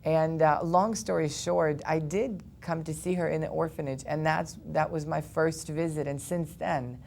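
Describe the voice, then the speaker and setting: A young man from Ukraine, earnestly telling his personal story as an interview guest, with quick animated bursts. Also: a little high, creaky, slight background noise. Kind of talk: personal testimony in a studio interview.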